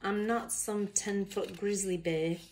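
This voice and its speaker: A woman's voice: medium pitch, fairly even intonation, takes it slowly, a little mellow, a little hiss or hum, lightly acted. Woman putting on an indignant koala, attempted Australian accent. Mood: fed up. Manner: blunt, grumbling, emphatic. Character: exasperated, indignant cartoon koala. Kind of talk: children's picture book read-aloud.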